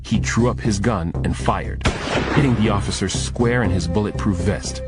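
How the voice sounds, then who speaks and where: A man's voice: on the low side, moderate pace, over loud music. A man reading scripted first-person thriller narration as an audiobook narrator.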